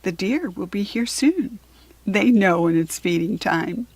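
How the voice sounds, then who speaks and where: A woman's voice: mid-range voice, lively intonation, a little slow, a little mellow, husky, dry recording. An American woman voicing a friendly female head ranger in a storybook, cheerful and explanatory. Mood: explaining.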